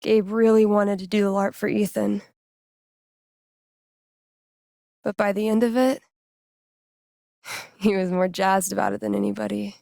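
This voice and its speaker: A woman's voice: mid-range voice, flat delivery, normal speed, gravelly, warm tone, dry recording, clean studio sound, lightly acted. An actress playing a wry, casual young woman in a video game, teasing and loyal. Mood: subdued, wistful. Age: young adult. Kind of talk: naturalistic video game dialogue acting.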